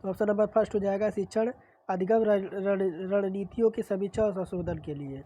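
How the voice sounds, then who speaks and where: A woman's voice: mid-range voice, steady tone, normal speed, mellow, rough recording. A woman teacher with a sore throat, steadily reading out exam questions and explaining the answers. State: sore throat, clearing throat often. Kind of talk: reading and solving practice questions.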